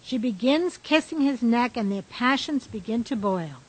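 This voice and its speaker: A woman's voice: on the high side, animated, normal speed, breathy edge, low-quality recording. A woman reading scripted stage directions as the narrator of a radio drama.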